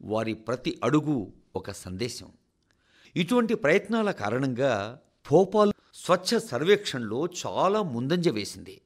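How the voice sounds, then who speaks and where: A man with a Telugu accent reading a scripted address for radio in a formal, deliberate way with rhetorical pauses. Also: mid-range voice, big pitch swings. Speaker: radio reader of a translated national address.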